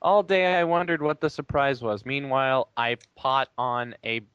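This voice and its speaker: A man of medium pitch reads aloud with sardonic humour among friends. The sound is dry and slightly muffled, from a lo-fi mic. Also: fairly even intonation, moderate pace, a bit bright, clean tone, dry recording. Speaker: player in a dramatic reading game. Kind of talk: comic reading aloud and banter. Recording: dull, lo-fi mic.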